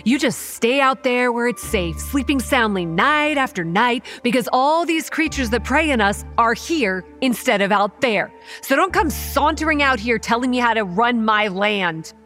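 A woman's voice: on the high side, expressive, moderate pace, a bit bright, soft background music. A woman playing a guarded, hot-tempered young campground manager who narrates and speaks in a horror audio drama, earnest with a sardonic edge. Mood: angry, defiant. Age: young adult. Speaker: narrator and lead character.

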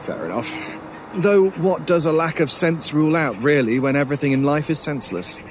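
An actor playing an urbane, sardonic crow in an audio drama, wordy and mockingly polite in a pompous way. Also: male voice, on the high side, brisk, natural voice. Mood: wry, amused. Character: urbane, sardonic male talking crow, gleefully macabre scavenger. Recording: clear outdoor noise with cawing crows. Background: outdoor ambience, crows, vehicles.

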